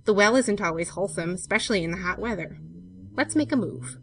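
Woman acting earnest, brooding storybook gentleman. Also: medium pitch, lively intonation, brisk, vocal fry, far from the mic, a little hiss or hum. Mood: tender. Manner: earnest, intense. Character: strong, brooding, protective man, tender but bitter. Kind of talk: dramatised storybook reading.

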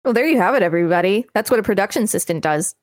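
A woman's voice: mid-range voice, lively intonation, rapid-fire, dry recording, studio quality. A woman co-hosting a podcast, reading an encyclopedia article aloud with some casual banter. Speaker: podcast co-host.

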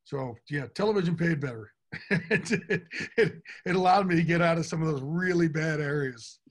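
Big-voiced, lively man, fairly high. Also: moderate pace. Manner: big-voiced, enthusiastic, from the heart. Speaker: interview guest. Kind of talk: casual interview talk.

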